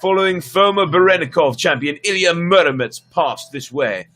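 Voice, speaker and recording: A man's voice: on the high side, expressive, normal speed, bright, forceful tone, pitched above the performer's own voice. A man voicing an earnest, deferential heroic knight in a folk tale, speaking in formal, respectful address.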